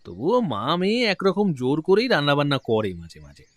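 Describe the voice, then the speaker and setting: An actor playing a genial, talkative middle-aged gentleman client in a detective audio drama. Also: on the high side, wildly swooping pitch, moderate pace, no echo. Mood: impressed, genial. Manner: genial, talkative, open-hearted. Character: affable, chatty gentleman client, proud father.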